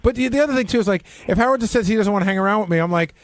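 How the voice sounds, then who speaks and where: A man's voice: high-pitched, very fast, no echo. A man who is a radio show regular, chatting casually and conversationally.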